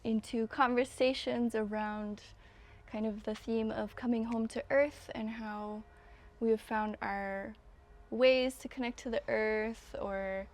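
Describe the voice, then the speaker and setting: A woman hosting a conversation, introducing her guest and asking gentle, thoughtful questions. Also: a little high, fairly even intonation, takes it slowly. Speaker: podcast host. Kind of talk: interview hosting.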